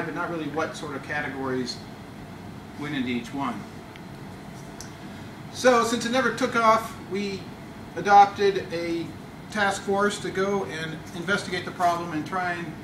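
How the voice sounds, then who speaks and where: A man's voice: a little high, close to an everyday voice. A man playing a pompous government official in a comic speech, talking in a dry, condescending, mock-official way. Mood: smug. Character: pompous male government spokesman, smug and bureaucratic. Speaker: Toastmasters contest speaker. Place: meeting room before a small audience. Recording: echoey room, distant mic, noisy.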